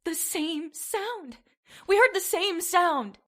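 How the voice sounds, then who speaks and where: Frightened young heroine, played by a woman: slow, swooping, dramatic delivery, fragile, old-time horror radio. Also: very high voice, lively intonation, fairly bright tone, exaggerated voice. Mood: fearful. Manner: dramatic, fearful.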